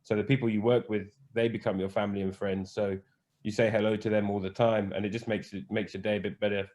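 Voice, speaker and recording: A man with a fairly low voice talks in a casual interview. He muses hesitantly, pausing on fillers and starting sentences over as he works out his answers. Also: level tone, darkish tone. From born in England, raised in Spain, Scottish parents. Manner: hesitant, thinks aloud with fillers and restarts. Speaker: podcast guest, cruise hospitality manager.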